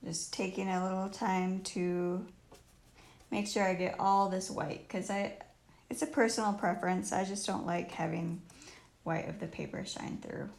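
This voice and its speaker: Croaky woman teaching painting, slow and roomy. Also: medium pitch, steady tone, darkish tone. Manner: thinks aloud in short phrases while painting. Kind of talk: casual live painting tutorial. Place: indoors at home, live stream while painting. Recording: roomy live stream with faint noise and clicks.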